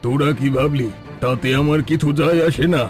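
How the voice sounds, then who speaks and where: A man's voice: a little high, steady tone, a little fast, a little mellow, faint music behind, natural voice. A man playing a scheming village headman. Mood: menacing. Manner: cold, threatening, condescending.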